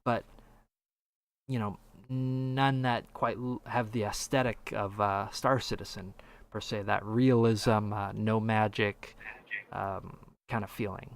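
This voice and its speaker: Clear-voiced man hosting a casual podcast. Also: lowish voice, fairly even intonation, takes it slowly. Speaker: podcast host asking questions.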